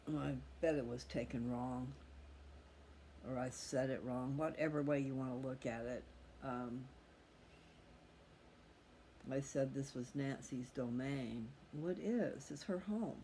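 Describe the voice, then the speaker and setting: A man's voice: medium pitch, a little slow, pauses often, warm tone. A middle-aged man talking casually and candidly in a rambling, reflective personal monologue, addressing his listeners directly. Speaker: host of a personal video channel. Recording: clearly audible background noise.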